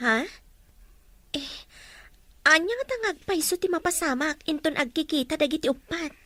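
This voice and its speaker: A woman's voice: high-pitched, animated, moderate pace, sharp tone, dry recording. A woman playing a concerned character who talks over family troubles in an Ilocano audio drama.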